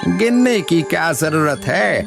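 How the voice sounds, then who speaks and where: Clear music plays behind a man playing a flattering village landlord in a cartoon moral tale. He speaks high for a man and draws his words out slowly, with lively swoops as he reassures in an ingratiating way. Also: exaggerated voice. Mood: reassuring. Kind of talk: Hindi cartoon moral-story acting.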